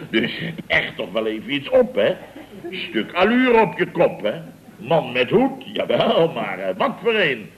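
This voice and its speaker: A man's voice: medium pitch, wildly swooping pitch, slow pace, highs cut off. Blustery dutch contractor boss, old radio sitcom, pompous, stammery, chuckles at himself, full of his own importance. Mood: boastful, indignant. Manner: pompous, stammering repetitions, chuckling, mock indignation. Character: boastful, blustering male building contractor, self-important storyteller. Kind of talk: Dutch radio comedy serial acting.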